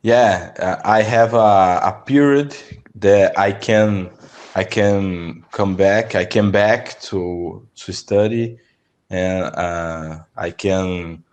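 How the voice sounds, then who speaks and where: Young Brazilian man, Portuguese accent, English learner; low voice, unhurried, hesitant delivery. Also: a little mellow. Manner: hesitant, halting with restarts. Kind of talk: online language lesson conversation. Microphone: video call.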